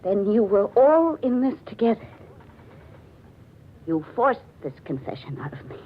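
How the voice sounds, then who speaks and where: An actress playing a desperate, cornered murderess in a 1940s radio drama, melodramatic and breathless. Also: female voice, mid-range voice, very animated, a little slow, muffled recording. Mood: bitter accusation. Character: desperate, cornered female murderer confessing in panic.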